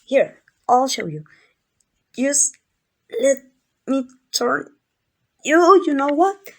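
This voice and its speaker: A woman's voice: sits high, sing-song, very slow, heavily acted. A woman playing an obsessive, doting yandere kidnapper in an ASMR roleplay, gushing and coaxing with affection. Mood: sheepish, eager. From Mexico.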